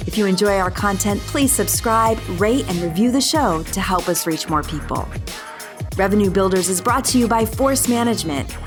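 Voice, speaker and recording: Announcer: bright promo-style woman, clear music bed underneath. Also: medium pitch, lively intonation, normal speed. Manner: polished, upbeat promo read. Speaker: podcast announcer. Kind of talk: scripted intro announcement. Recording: voice-over with music behind.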